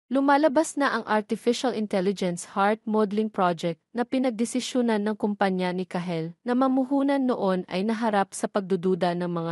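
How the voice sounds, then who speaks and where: A woman's voice: medium pitch, a little mellow, no echo, clean studio sound. A woman reading scripted narration for an audio drama love story.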